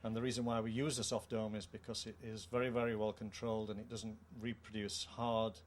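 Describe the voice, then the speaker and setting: A middle-aged man with a British accent gives a measured, formal technical explanation of a product. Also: fairly low pitch, little pitch movement. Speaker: company co-founder presenting a product. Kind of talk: technical product presentation in an interview. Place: indoor trade show room. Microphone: handheld microphone. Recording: clear background noise, show-room ambience.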